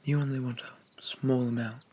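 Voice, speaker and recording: A man playing a haughty, possessive demon prince in an ASMR roleplay, speaking formally and softly, often dropping into a whisper. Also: mid-range voice, level tone, moderate pace, slight background noise, close to an everyday voice. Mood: suave, indulgent. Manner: pompous, formal, softly condescending.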